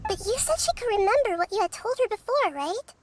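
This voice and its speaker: A woman voicing a wise, composed child deity in a video game, gently curious and explaining things thoughtfully and articulately. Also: very high voice, expressive, a little fast, a crowd in the background. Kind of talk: voice-acted game story dialogue.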